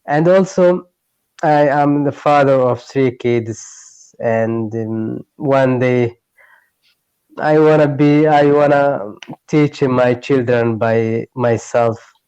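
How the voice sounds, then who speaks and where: Slow, halting man on a video call. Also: mid-range voice, a little mellow, clean tone, no room sound, muffled recording. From Eritrea. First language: Tigray. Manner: halting, searching for words, restarting phrases. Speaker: English learner. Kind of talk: online tutoring conversation.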